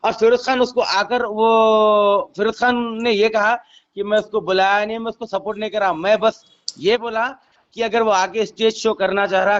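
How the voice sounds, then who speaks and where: A man with a Hyderabadi accent working as a reporter, asking probing questions on a recorded phone call. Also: sits high. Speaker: reporter conducting an interview.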